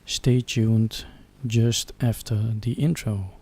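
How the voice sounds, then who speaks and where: Male tutorial host, lowish pitch, very slow deliberate instructional delivery. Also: steady tone, mellow. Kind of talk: scripted step-by-step software tutorial.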